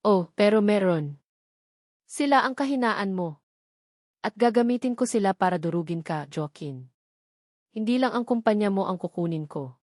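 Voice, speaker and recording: A woman's voice: mid-range voice, expressive, normal speed, long pauses, darkish tone, no room sound, studio quality, lightly acted. A woman narrator voicing a cold, threatening male business rival in a romance story. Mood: cold menace.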